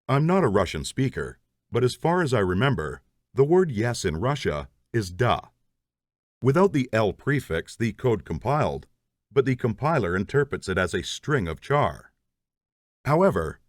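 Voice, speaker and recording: A man's voice: lowish voice, dry recording. Man, methodical tutorial narration, dry close sound. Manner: methodical, explains step by step. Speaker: programming instructor.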